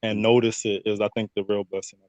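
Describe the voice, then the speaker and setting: A man's voice: on the low side, level tone, fairly quick, darkish tone, no room sound. A man chatting informally and reflectively as an interview guest, checking that listeners follow. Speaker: interview guest, cast member. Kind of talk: casual conversation.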